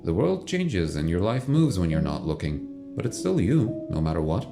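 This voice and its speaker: A man's voice: low-pitched, big pitch swings, moderate pace, creaky. A man narrating a scripted science fiction audio drama as its storyteller. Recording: music bed under the voice.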